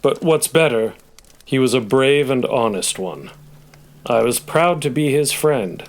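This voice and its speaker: A man playing a formal, gruff old gentleman. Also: mid-range voice, expressive, measured pace. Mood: gruff, kindly. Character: gruff but kindly old gentleman grandfather. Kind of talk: audio play acting.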